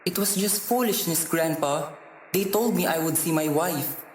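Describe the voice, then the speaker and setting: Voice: medium pitch, normal speed, slight background noise, close to an everyday voice. An actor playing a young grandson, an innocent, cheeky and curious schoolboy, in a radio drama. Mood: sheepish. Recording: echoey room, faint music.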